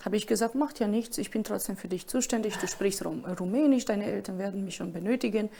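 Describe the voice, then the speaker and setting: A woman's voice: mid-range voice, brisk, creaky, mellow, a little hiss or hum. A middle-aged woman who works as a school mediator, telling personal anecdotes in an interview. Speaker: school mediator for Roma pupils.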